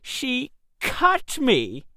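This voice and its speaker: A man voicing a gloomy, wounded young gentleman in love, with a hollow, drawn-out, mournful delivery. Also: extremely high pitch, huge pitch swings, no echo, studio quality, voice pushed up, heavily acted.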